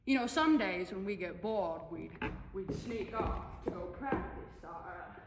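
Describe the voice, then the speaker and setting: A woman's voice: medium pitch, animated, measured pace, voice pushed down. A young woman performing a dramatic monologue as a brash, sparkling silent film comedienne, showy and theatrical, with conversational stammers. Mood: wistful, fond. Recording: echoey hall, distant, noisy room.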